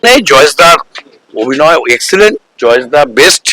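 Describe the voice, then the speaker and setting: A man's voice: fairly high pitch, lively intonation, fairly quick, bright, forceful tone, poor audio. A man giving an enthusiastic audience reaction in a casual interview. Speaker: audience member. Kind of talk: enthusiastic vox-pop reaction.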